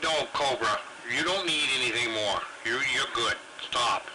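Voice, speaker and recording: A man's voice: medium pitch, a little slow. A man talking casually over CB radio in a blunt, salty way. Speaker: CB radio operator. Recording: very noisy CB radio transmission.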